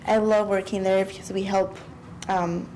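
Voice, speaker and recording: Young woman from Mexico, mellow warm tone, earnest student. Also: medium pitch, level tone, moderate pace, a little hiss or hum, low-quality recording. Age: young adult. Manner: earnest, hesitant with frequent "um". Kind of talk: conversational interview.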